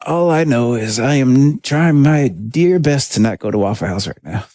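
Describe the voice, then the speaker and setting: A man with a deep voice chatting casually on a livestream while he makes music, laid-back and rambling as he reads viewer comments. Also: lively intonation, normal speed, dark tone, dry recording, studio quality. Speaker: music producer streaming his session.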